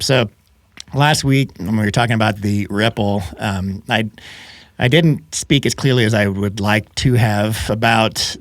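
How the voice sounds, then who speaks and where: Male podcast host, lowish voice, slightly froggy from a cold, chatty, dry close mic. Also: no room sound. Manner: chatty, laughs often, frequent restarts. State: slightly hoarse from a head cold. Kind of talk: casual podcast chat.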